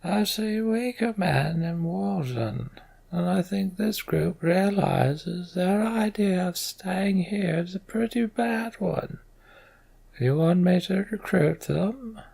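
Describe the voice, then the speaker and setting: A man voicing a gruff, wry old giant wanderer with a wheezy, creaky voice and a slow, drawn-out delivery, in a fantasy game. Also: sits high, darkish tone, voice pushed up, full character voice.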